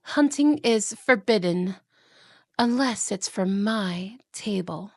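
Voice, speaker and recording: A woman's voice: medium pitch, expressive, slow, dry recording, clean studio sound. A woman playing a cruel, jealous evil queen and stepmother in a fantasy audio roleplay, with an imperious, menacing, theatrical delivery. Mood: outraged.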